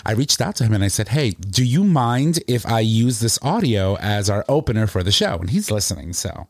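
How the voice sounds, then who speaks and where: A man hosting a podcast in casual chat, animated and quick to laugh. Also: fairly low pitch, no room sound. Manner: animated, chatty, laughs often. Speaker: podcast host.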